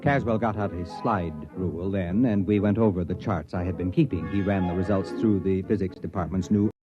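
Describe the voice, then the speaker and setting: A man's voice: low voice, steady tone, a little fast, close to an everyday voice. Man playing an urgent, exasperated 1950s radio professor. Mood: narrating, intent. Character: excitable male university professor narrating his story, increasingly alarmed. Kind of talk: 1950s radio drama acting with narration. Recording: dull vintage radio recording, music behind.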